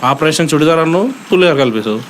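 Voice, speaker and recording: A man's voice: on the high side, lively intonation, rapid-fire, a little hiss or hum, close to an everyday voice. A man playing a teasing, scheming young college buddy in a comedy audio drama, with playful, mocking slang. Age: young adult.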